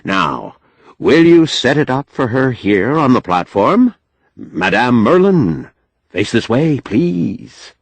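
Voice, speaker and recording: A man's voice: mid-range voice, very animated, slow, vocal fry, breathy edge, dry recording, voice pushed up, heavily acted. A man voicing a stage magician with mildly puzzled, offhand showman's patter in an audiobook. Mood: apologetic showman. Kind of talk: audiobook character acting.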